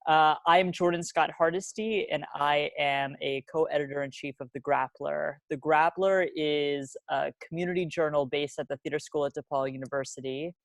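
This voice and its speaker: A young man, a student journal co-editor, hosting an interview hesitantly with many pauses. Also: on the high side, fairly even intonation, gravelly, a bit bright, no room sound. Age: young adult. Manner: hesitant, frequent uh fillers.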